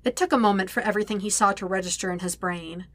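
Bright-voiced woman reading a story. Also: medium pitch, quick pace, dry recording. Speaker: podfic narrator, reading own fan fiction. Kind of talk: expressive scripted story narration.

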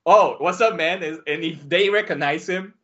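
Male podcast guest from Mexico: bright, animated storyteller, slightly lo-fi sound. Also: on the high side, moderate pace. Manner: animated, acts out quotes with a raised voice. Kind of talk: casual storytelling. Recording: dull lo-fi sound.

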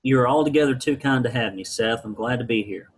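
Wry man, husky voice. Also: mid-range voice, a little fast. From rural Alabama. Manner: wry, folksy, blunt humor. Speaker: guest, business founder. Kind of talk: storytelling interview answers.

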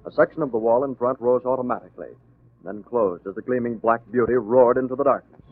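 A man's voice: medium pitch, fast talker, heavy background noise. A man narrating a 1940s radio serial as announcer, with a formal, dramatic delivery. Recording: tinny, narrow-band old radio recording.

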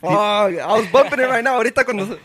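A man's voice: high voice, expressive, brisk, a crowd in the background. A man hosting a casual podcast who introduces the show playfully and switches between English and Spanish. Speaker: podcast co-host. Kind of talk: casual chat.

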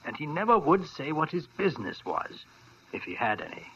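A man's voice: a little high, wildly swooping pitch, normal speed, vocal fry, fairly bright tone, a little hiss or hum, full character voice. An actor playing a worried, earnest older male doctor who talks hesitantly, in 1940s radio style. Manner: earnest and hesitant, full of uh's. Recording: dull old radio recording.

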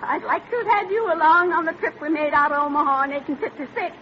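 A woman's voice: sits high, fast, natural voice. An actress playing a spirited woman in her eighties, a folksy pioneer with rustic phrasing, in an old radio drama. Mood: reminiscing. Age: 80s. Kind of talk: 1940s radio acting. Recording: muffled, noisy old radio recording.

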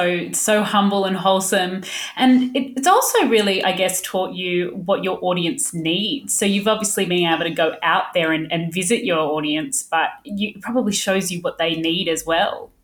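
A woman hosting a podcast, bubbly and effusive, with a loud, projecting voice. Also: fairly low pitch, moderate pace. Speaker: podcast host. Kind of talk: podcast intro and interview. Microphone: studio microphone.

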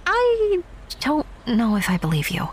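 Candid young theater woman, skeptical, hesitant but pointed, animated higher voice, audio drama. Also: measured pace, a little hiss or hum, full character voice. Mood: confused, doubtful. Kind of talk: naturalistic audio drama acting.

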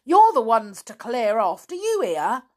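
A woman voicing a bullying circus man who shouts, in a storybook reading. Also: a little high, wildly swooping pitch, a little slow. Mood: angry.

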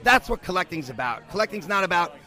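A man's voice: high voice, sing-song, fast talker, bright, forceful tone, faint music behind. A man working as a podcast correspondent, doing an enthusiastic on-location interview at a bar on a handheld recorder. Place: bar in New York.

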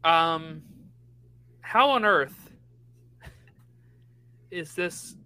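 A man's voice: on the high side, expressive, very slow, pushed and bright, clean tone, dry recording, low-quality recording. A man co-hosting a podcast, talking soccer in a casual, conversational way and often checking in with "right?". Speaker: podcast co-host.

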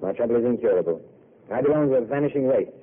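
An actor playing a weary, self-mocking intellectual who speaks in a wry, eloquent way, heard on a tinny old radio broadcast. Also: male voice, medium pitch, animated, very fast, close to an everyday voice. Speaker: wandering failed writer. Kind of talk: 1930s radio drama acting.

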